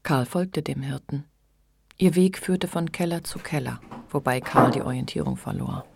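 A man's voice: mid-range voice, moderate pace, gravelly, a little mellow, breathy edge. A man giving scripted literary narration in a radio play.

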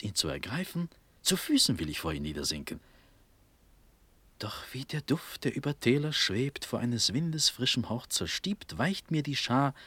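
An actor playing a dazed, dreamy young Prussian prince in a verse radio drama, fervent and halting, with breathy speech drifting into whispers. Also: male voice, medium pitch, bright, forceful tone, no room sound, full character voice. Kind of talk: classical German stage verse radio drama.

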